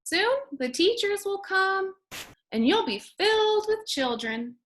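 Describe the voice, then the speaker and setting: A woman's voice: extremely high pitch, sing-song, slow, a bit bright, pitched above the performer's own voice, full character voice. A woman voicing a kindly, reassuring male school janitor in a storybook, upbeat and soothing.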